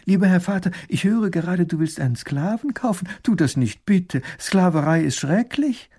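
A man's voice: a little high, unhurried, creaky, warm tone, breathy edge, pitched above the performer's own voice, full character voice. A man doing an earnest young princess. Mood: pleading. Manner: pleading, polite formal address.

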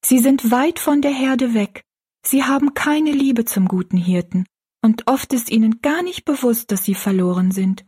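A woman voicing an earnest, pious young girl in a storybook, gently and politely explaining things. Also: on the high side, moderate pace, husky, voice pushed up.

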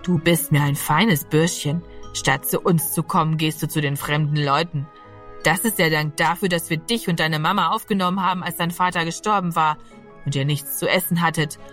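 A woman's voice: fairly even intonation, moderate pace, a bit bright, soft background music. A woman voicing a brutal grown man who kidnaps a boy and talks to him in a threatening, sneering way. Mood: menacing. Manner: threatening, sneering, low and close.

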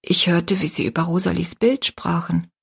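A woman voicing a frail, bedridden mother who speaks gently in a weak, breathy whisper. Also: fairly low pitch, fairly even intonation, normal speed, full character voice.

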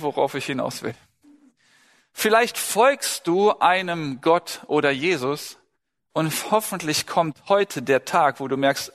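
A man's voice: on the high side, a bit bright. A man preaching a sermon in a conversational way, asking the congregation questions and telling personal stories. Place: church hall. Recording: long hall reverb.

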